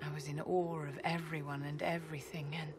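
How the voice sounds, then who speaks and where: Woman acting low-voiced, poised noblewoman mage. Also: little pitch movement, background noise, close to an everyday voice. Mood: restrained grief. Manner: formal, measured, elegant. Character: poised, proud noblewoman mage, grieving with restraint. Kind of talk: dramatic game cutscene acting.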